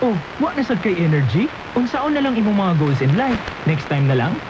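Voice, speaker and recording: A woman's voice: mid-range voice, big pitch swings, fairly quick, background noise. A woman with a Cebuano Filipino accent reading an upbeat scripted radio commercial. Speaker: commercial voice-over. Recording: AM radio with loud background music. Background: loud music.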